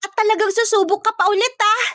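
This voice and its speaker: A woman's voice: very high-pitched, steady tone, fast talker, fairly bright tone, no echo, studio quality, voice pushed up. A woman voicing a feisty, cheeky young provincial Filipino woman in a dramatic story. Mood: feisty, gleeful.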